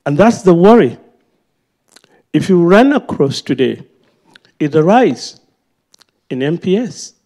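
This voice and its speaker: Nigerian man, fairly high mellow voice, deliberate pace, emphatic public question. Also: very animated. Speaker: audience member asking a question. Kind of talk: public question at a panel event. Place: auditorium at a panel event.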